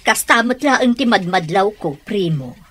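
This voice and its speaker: A woman's voice: medium pitch, lively intonation, unhurried, fairly bright tone. A woman with an Ilocano accent playing a concerned middle-aged mother in a radio drama. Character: Alma, concerned middle-aged mother hoping for her child.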